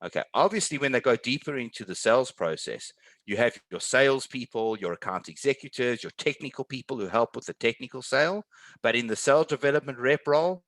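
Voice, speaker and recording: A man's voice: mid-range voice, a little fast, fairly bright tone, no echo. A man speaking as a panelist in an online webinar, giving earnest, encouraging and emphatic career advice. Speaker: webinar panelist, tech sales professional.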